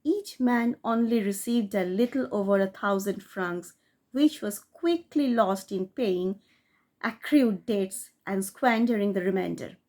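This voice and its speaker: A woman reading a classic short story aloud, in a steady storytelling manner that rises for emphasis. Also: medium pitch, a little slow. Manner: steady storytelling read with rising emphasis on key phrases. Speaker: audiobook reader.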